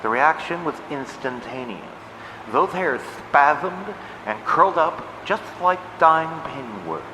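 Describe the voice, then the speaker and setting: An actor playing an earnest, nerdy amateur scientist in an audio drama, explaining things precisely and dramatically. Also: male voice, fairly high pitch, very animated, slow. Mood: dramatic, urgent. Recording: reverberant, noisy background.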